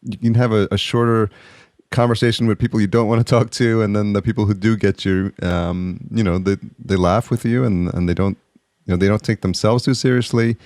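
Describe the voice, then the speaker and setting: Very low-voiced man hosting a podcast. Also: fairly even intonation, darkish tone, no room sound, studio quality. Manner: halting, many restarts, friendly. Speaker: podcast host. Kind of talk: casual interview chat.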